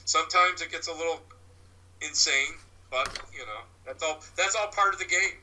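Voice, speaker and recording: A man with a loud voice, answering interview questions about his paranormal work in a rambling way, full of restarts and fillers. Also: on the high side, normal speed, a little hiss or hum, low-quality recording. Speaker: paranormal investigator and medium. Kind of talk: interview guest, casual explaining.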